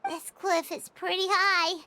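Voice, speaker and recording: A woman voicing a tiny, childlike fairy companion in a video game, with a squeaky voice and a perky, curious, proud manner. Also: level tone, unhurried, a crowd in the background. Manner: perky, refers to self in third person. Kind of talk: video game character dialogue.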